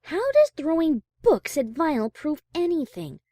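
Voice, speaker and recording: A woman acting a haughty, easily exasperated high-class pony in a fan audio drama, prim and wordy. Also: wildly swooping pitch, measured pace, warm tone, no echo, studio quality, heavily acted. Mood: indignant.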